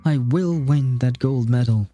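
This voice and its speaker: A man's voice: medium pitch, a little slow, mellow, faint music behind, lightly acted. A man voicing a proud, wounded young noblewoman athlete from a game story, earnest and desperate to win. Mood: bitter resolve.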